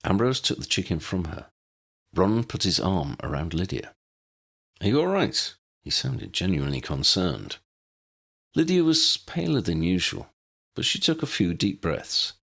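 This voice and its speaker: A man's voice: low-pitched, very animated, normal speed, gravelly, fairly bright tone, slightly rough. A man reading a scripted story aloud as an audiobook narrator.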